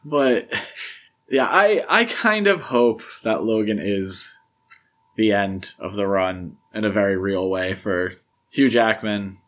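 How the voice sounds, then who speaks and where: A man's voice: fairly low pitch, animated, takes it slowly, a bit bright, lo-fi sound. A man co-hosting a podcast, chatting casually and giving his opinions with emphasis. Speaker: podcast co-host. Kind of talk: casual film discussion chat.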